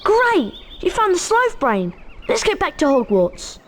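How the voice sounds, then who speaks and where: A woman voicing an excitable, nervous young schoolboy wizard in a video game. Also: extremely high pitch, a little slow, slight background noise, heavily acted. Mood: eager, then nervous. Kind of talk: video game cutscene acting.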